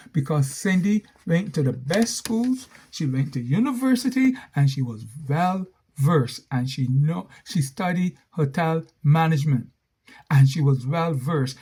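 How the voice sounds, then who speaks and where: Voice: mid-range voice, darkish tone, slightly rough. A storyteller, telling a cautionary tale with emphatic repetition and asides to the listener. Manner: emphatic, repeats key phrases, asides to listener.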